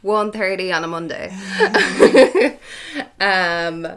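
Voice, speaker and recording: A woman's voice: on the low side, expressive, extremely slow. A woman in her twenties with an Irish accent, a native Irish speaker, chatting casually as a podcast guest and laughing often at herself. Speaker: anonymous guest.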